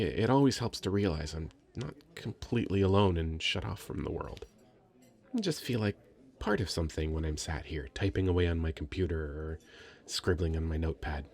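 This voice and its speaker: A man playing a very shy, sweet young nerdy writer in an ASMR roleplay, hesitant, stammering and soft-spoken. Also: low voice, vocal fry. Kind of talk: ASMR roleplay, addressing the listener.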